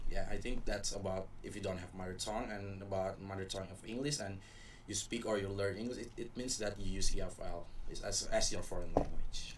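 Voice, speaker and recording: A young man with a very low voice answers interview questions, often repeating a word while he thinks. He is recorded from some distance. Faint background noise sits behind him. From Papua, Indonesia. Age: young adult. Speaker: college student guest.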